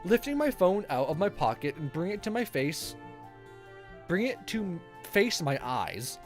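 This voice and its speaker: A woman's voice: fairly low pitch, big pitch swings, a little slow, faint music behind. A woman streaming a visual novel, reading it aloud and chattily commenting on the story. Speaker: game streamer.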